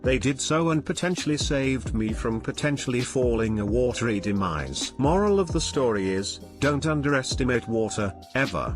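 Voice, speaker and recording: Male narrator, steady read-aloud, mid-pitched voice, faint music underneath. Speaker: narrator reading online posts. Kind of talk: scripted story narration.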